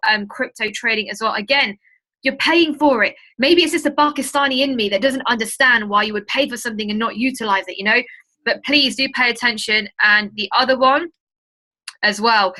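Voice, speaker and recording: A woman's voice: fairly high pitch, sharp tone, poor audio. A woman hosting an informal online training webinar, chatty and emphatic, urging her listeners on as she talks to them directly. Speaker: webinar host and trading mentor.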